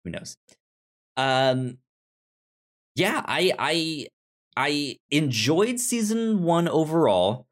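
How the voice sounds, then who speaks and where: A man hosting a casual review chat, speaking haltingly and often repeating or restarting his words. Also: a little high, big pitch swings. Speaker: podcast host.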